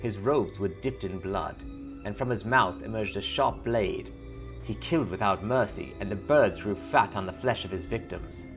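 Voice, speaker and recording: A man voicing a haunted, guilt-ridden warlock in a solemn, measured dramatic reading of a journal. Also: on the low side, music in the background, close to an everyday voice. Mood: ominous, brooding. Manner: solemn, measured, brooding delivery.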